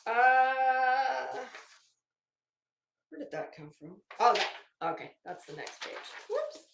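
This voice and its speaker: A high-pitched woman hosts a casual livestream, reading aloud and commenting in a sarcastic, theatrical way. She takes her time and swears freely. The room rings with echo around her voice. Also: very animated, slow pace, gravelly, a bit bright, a little hiss or hum. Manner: sarcastic, mocking, profane. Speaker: livestream host. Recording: echoey untreated room.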